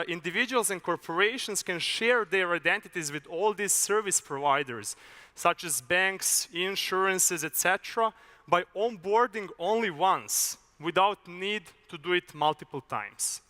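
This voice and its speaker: A young man from Serbia, giving a measured, explanatory conference talk with a few filler uhs. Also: high voice, bright, forceful tone. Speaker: conference speaker. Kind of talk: conference presentation. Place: large conference hall. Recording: reverberant hall.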